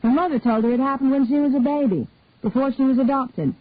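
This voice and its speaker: A woman's voice: sits high, fast talker, no echo, voice pushed up, lightly acted. A woman doing an earnest young son. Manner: earnest, matter-of-fact. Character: grown son, eager earnest young man. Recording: narrow phone-like dry sound.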